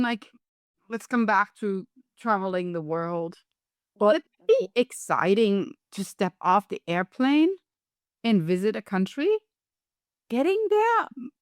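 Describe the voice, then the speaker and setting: A woman's voice: mid-range voice, no echo, clean studio sound. A middle-aged woman from Europe with a European accent, speaking as an interview guest and coach in an upbeat, emphatic, motivational way. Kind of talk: conversational interview.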